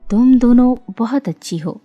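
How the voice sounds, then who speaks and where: Slow-speaking gracious fairy played by a woman. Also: a little high, dull audio, faint music behind. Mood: pleased, approving. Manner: measured, gracious, formal. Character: kind, gracious fairy rewarding good children.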